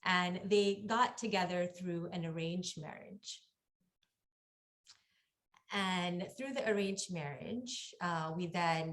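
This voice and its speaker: A young woman from Malaysia whose first language is Malay, telling her personal story on a video call in a warm, unhurried way with many ums and uhs. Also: on the low side. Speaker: workshop facilitator.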